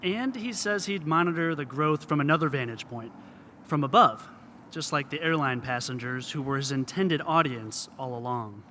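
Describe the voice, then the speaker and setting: A man's voice: fairly high pitch, normal speed, sharp tone, a little hiss or hum. A man reading scripted narration as a radio reporter.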